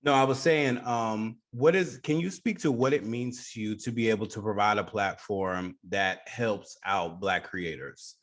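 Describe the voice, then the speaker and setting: A man's voice: lowish voice, a little slow, hardly any pauses. A man hosting a radio show, interviewing a guest and repeating phrases for emphasis.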